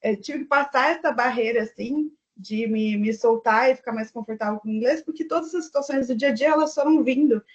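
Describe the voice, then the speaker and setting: A woman's voice: on the high side, fairly quick, few pauses, breathy edge. A woman from Brazil with a Brazilian Portuguese accent, chatting on an online panel and thinking aloud with fillers. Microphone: video call. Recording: dull lo-fi online call audio.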